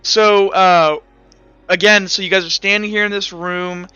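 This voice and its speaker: A man's voice: sits high, steady tone, a little slow, bright, forceful tone, smooth, dry recording. A young man as game master, narrating the scene in second person with hesitant fillers and restarts. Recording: slightly muffled, with faint music.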